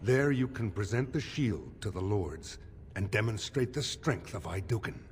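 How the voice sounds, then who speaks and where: An actor playing an authoritative dwarf lord in a fantasy game, speaking formally and in measured phrases. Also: male voice, lowish voice, lively intonation, a little hiss or hum. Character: authoritative male dwarf lord briefing troops.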